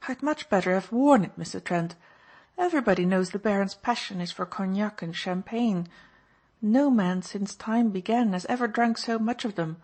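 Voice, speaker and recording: A woman's voice: mid-range voice, moderate pace, darkish tone, lightly acted. A woman voicing an overwrought baroness who talks indignantly. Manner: agitated, indignant.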